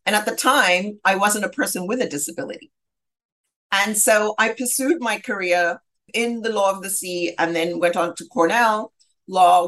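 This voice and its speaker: A middle-aged woman from southern Africa telling her personal story as an interview guest, in a measured, reflective and articulate manner. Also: medium pitch, fairly bright tone. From southern Africa, grew up in Zambia. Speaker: interview guest, human rights lawyer.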